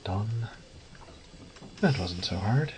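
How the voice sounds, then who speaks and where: A cocky male thief acted by a man mutters wryly to himself in a low voice, swearing casually. He takes his time over his words in this audio roleplay, with clear ambient noise behind him. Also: expressive, very slow. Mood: confident, focused. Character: cocky male thief, wry and foul-mouthed, talking to himself. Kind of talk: solo audio roleplay acting.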